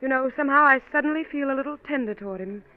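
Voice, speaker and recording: An actress playing a poised, flippant, newly divorced newspaperwoman in a 1940s radio drama, breezy and arch, quick to bristle. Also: high-pitched, lightly acted. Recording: narrow-band vintage radio recording.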